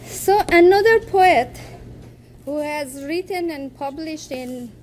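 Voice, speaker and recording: A woman speaks slowly and easily in a high voice, presenting her books to a lecture hall audience. Her is Farsi, and she laughs lightly between points. There is a faint room hum behind her. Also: a little mellow. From Iran. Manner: unhurried, with light laughs and fillers. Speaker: poet and event host.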